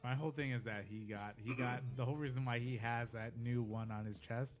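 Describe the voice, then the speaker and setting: A man's voice: mid-range voice, fairly even intonation, brisk. A man on a podcast panel, chatting casually about movies with friends.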